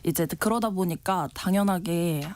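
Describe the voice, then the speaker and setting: A woman's voice: fairly low pitch, unhurried, a little mellow. A woman in her twenties from Wando, answering interview questions in a friendly way and laughing often. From South Korea, Wando. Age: 20s. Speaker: interview guest, village head. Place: radio studio.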